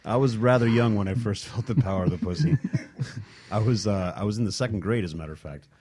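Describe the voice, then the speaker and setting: A man's voice: fairly low pitch, fast, noisy background. A man co-hosting a radio talk show, telling stories in a laid-back way with frequent chuckles. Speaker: co-host.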